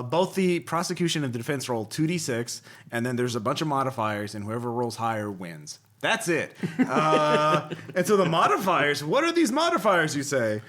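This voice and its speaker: A man's voice: a little high, a bit bright, slight background noise. A man hosting a podcast in casual chat, rambling along in a jokey way. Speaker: podcast host.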